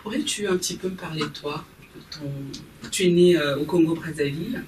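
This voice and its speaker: A woman's voice: deep voice, brisk, a little mellow. A woman hosting a TV talk show, opening with a formal welcome and steering the guest with questions. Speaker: TV show host. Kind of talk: TV studio interview. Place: TV studio. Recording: roomy studio, distant mic, faint noise.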